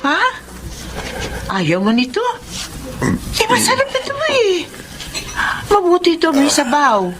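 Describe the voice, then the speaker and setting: A woman's voice: very high-pitched, huge pitch swings, unhurried, long pauses, over music, heavily acted. An actress playing an exasperated elderly mother and wife in a radio drama, with a nagging, folksy delivery. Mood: fussing, exasperated.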